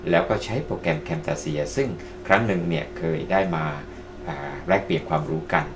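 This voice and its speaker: A man's voice: rumbling low, brisk, noisy background. A man presenting casually to online viewers as a tutorial host, addressing them politely as friends. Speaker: presenter of video-making tutorials. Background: music.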